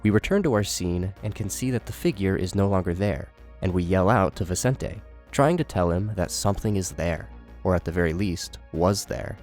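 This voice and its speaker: A man's voice: low-pitched, normal speed, a little mellow, soft background music. A man giving scripted narration and commentary as a horror series commentator.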